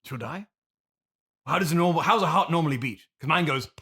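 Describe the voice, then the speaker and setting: A self-important classical thespian played by a man with a fairly high, very bright and forceful voice. He is theatrical and easily indignant, nursing his grievances as a guest in an improvised comedy interview. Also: lively intonation, a little fast, gravelly, a little hiss or hum, heavily acted. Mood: self-satisfied. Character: pompous, aggrieved classically trained Shakespearean stage actor.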